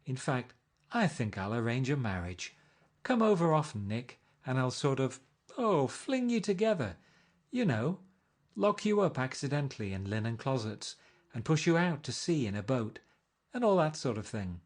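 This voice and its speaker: A man reading aloud and voicing a flighty, teasing wealthy young woman with a high, gushing lilt. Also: very animated, normal speed. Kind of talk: audiobook character voice.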